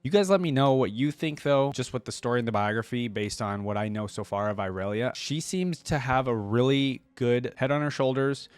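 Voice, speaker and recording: A man's voice: medium pitch, a little fast, hardly any pauses, smooth, no room sound. A man hosting a reaction video, talking casually in an informal, slangy, rambling way.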